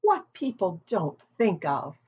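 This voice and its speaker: A woman voicing a wise female spider with a sweet, musical voice, speaking softly and calmly. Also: lowish voice, slightly rough, pitched above the performer's own voice, full character voice. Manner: soft, calm, brisk. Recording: dull lo-fi recording.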